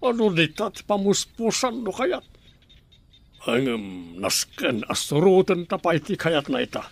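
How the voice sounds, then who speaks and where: Earnest young Ilocano man, higher-pitched. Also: very animated, measured pace, gravelly, exaggerated voice. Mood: insistent. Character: earnest, insistent young man, a grown son. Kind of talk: radio drama acting.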